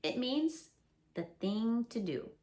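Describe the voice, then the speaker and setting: A woman's voice: a little high, sing-song, takes it slowly, a little mellow. A woman doing a pompous, wordy owl. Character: pompous wordy male owl, self-important.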